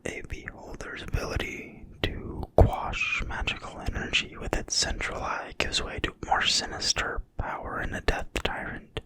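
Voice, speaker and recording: An ASMR creator reading in a quiet, breathy, soothing whisper with no voicing at all. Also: low-quality recording. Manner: quiet, slow, soothing delivery. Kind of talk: whispered ASMR reading of a fantasy game manual.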